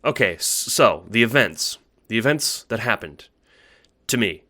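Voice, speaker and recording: A man playing a timid young male alien troll in an audio drama, halting and stammering, eager to please and unsure of himself. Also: on the low side, lively intonation, sharp tone, soft background music. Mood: nervous, apologetic. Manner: halting, stammering, self-doubting. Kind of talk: audio drama monologue and dialogue.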